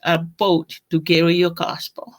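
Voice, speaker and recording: An older woman from Tonga with a Tongan accent, telling her personal story in an interview in a reflective, halting way. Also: fairly low pitch, little pitch movement. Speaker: deacon, interview guest.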